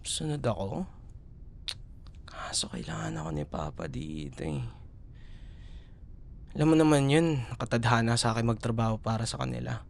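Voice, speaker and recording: Casting: male actor as young Filipino college boyfriend for ASMR roleplay, mid-pitched with noticeable fry, tender and teasing. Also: normal speed, pauses often. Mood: gentle, wistful concern. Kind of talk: ASMR boyfriend roleplay, intimate conversational acting.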